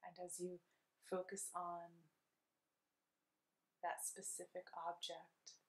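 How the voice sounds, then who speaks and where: A woman leading a guided meditation in a soothing, unhurried, gently instructive way. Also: fairly low pitch, completely flat delivery, rough recording.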